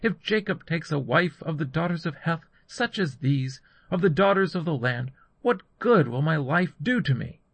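A man's voice: a little high, expressive, normal speed, vocal fry, few pauses, slightly rough, dry recording, voice pushed up, exaggerated voice. A man voicing an anxious, earnest mother in a scripture story. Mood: weary. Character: anxious mother urgently counselling her younger son.

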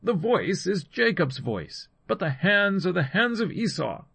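A man's voice: high voice, sing-song, unhurried, gravelly, fairly bright tone, slightly rough, no echo, voice pushed up, exaggerated voice. A man voicing an aged, solemn father who declaims to his sons as in a scripture story. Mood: searching, tender.

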